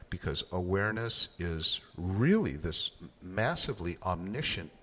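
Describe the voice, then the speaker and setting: A man in his sixties hosting a casual spiritual monologue, musing and unhurried, with many fillers like "you know" and "uh.". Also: deep voice, husky. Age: 60s. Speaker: channel host. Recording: echoey, dull lo-fi room sound.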